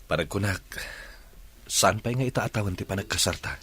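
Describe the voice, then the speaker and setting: A man's voice: low voice, moderate pace, fairly bright tone, slightly rough. A man playing a coaxing, bashful young boyfriend in audio drama dialogue. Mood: agreeable.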